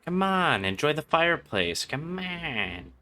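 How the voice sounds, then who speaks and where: A man's voice: fairly high pitch, sing-song, takes it slowly, pushed and bright. A man doing casual live gameplay commentary as a streamer, muttering to himself and his chat in slangy, sweary language. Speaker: game livestreamer.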